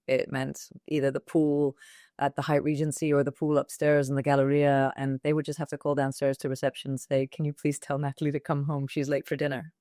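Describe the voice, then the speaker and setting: Italian-born lady radio host chatting away, lively storyteller, dry close mic. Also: low-pitched, no echo. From Italy. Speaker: radio presenter, guest. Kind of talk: casual interview chat.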